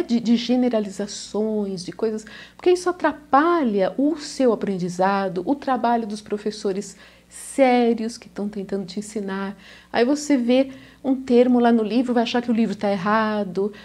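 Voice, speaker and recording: A woman's voice: fairly high pitch, a little mellow. A Brazilian woman with a São Paulo accent, a language teacher talking casually to camera, often ending phrases with a questioning tag. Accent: São Paulo Brazilian Portuguese. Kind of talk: casual video lesson to camera.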